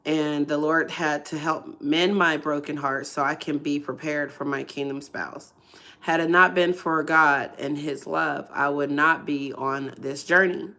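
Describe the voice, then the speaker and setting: A woman with a low voice that is hoarse and a little congested, telling her testimony on a casual livestream. She speaks softly and hesitantly, with many fillers and pauses. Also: steady tone. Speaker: ministry livestream host.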